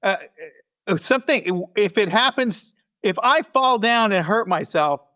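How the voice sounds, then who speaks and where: A man's voice: high-pitched, lively intonation, measured pace, pushed and bright. A man speaking as an interview guest in casual conversation, earnest and given to repeating phrases for emphasis. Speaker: storytelling show producer and podcast guest.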